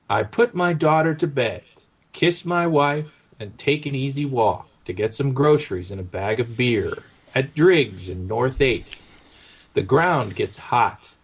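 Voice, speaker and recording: A man reading a short literary story aloud in an expressive narrative style. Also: mid-range voice, husky. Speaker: story reader.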